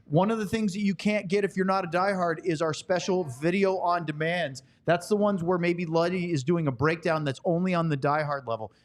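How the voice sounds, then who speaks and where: A man's voice: sits high, fairly quick, few pauses. A man hosting a sports podcast, talking in a casual, bantering style. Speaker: podcast host.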